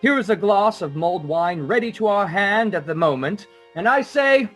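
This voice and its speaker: High-voiced merry nephew. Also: male voice, animated, moderate pace, a bit bright, faint music behind. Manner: cheerful, speechifying. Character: merry, good-natured nephew Fred proposing a toast. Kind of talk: radio play acting.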